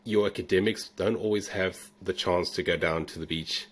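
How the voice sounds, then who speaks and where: South african guy, middle-aged, low deep voice, laid back and chatty over a video call. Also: moderate pace. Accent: South African, blended with New Zealand. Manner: relaxed, rambling with fillers and restarts. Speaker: guest, fossil hunter. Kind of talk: casual storytelling interview. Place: home room.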